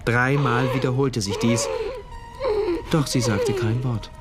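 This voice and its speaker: A male actor playing an introspective, detached diarist, narrating a radio drama in terse, measured phrases. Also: mid-range voice, over loud music, lightly acted.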